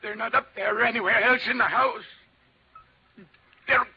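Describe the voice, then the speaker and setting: A man playing an anxious older small-town father with a creaky voice and folksy, halting speech in a 1950s radio drama. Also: sits high, fairly even intonation, full character voice. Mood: distraught. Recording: dull, noisy 1950s radio recording.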